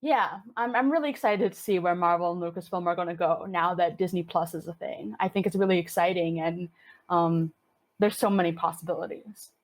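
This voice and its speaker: A woman's voice: lowish voice, fairly quick. A woman in her twenties from Hong Kong, chatting with enthusiasm as a podcast guest, with plenty of fillers. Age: 20s. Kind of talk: casual interview chat.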